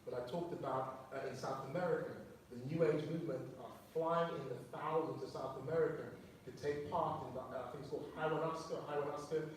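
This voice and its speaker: A man's voice: a little high, fairly even intonation, a little fast. A man teaching a sermon to his fellowship, explaining words and asking the listeners questions. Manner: explanatory, reads quotes aloud, asks listeners questions. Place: church hall. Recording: very echoey room, mic far off, faint noise.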